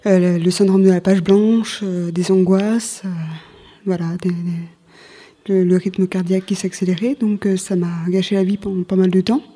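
A woman gives a casual personal account in an interview. Her voice is mellow and medium in pitch. She speaks hesitantly, leaving long, drawn-out pauses as she goes. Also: fairly even intonation. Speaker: interview guest, former childminder returning to study.

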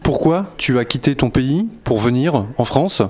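Male interviewer, measured questions. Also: medium pitch, expressive, a little mellow.

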